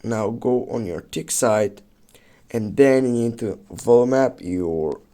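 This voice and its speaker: A man's voice: mid-range voice, slow pace, warm tone. A man hosting a how-to tutorial, giving simple step-by-step instructions in casual, non-native phrasing.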